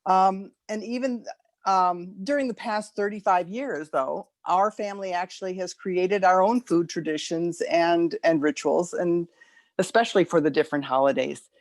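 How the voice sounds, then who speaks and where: An older woman telling personal memories informally, in a halting way with repeated and restarted phrases. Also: lowish voice, dry recording. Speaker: speaker at a community gathering.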